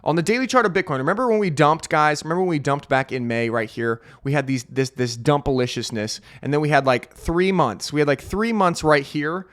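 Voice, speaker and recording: A man's voice: on the high side, fairly bright tone. A man with a slightly sick, nasal voice walks through trading charts in a hyped, sarcastic way. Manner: hyped, sarcastic, fast-talking. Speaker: crypto YouTuber. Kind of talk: excited trading chart walkthrough.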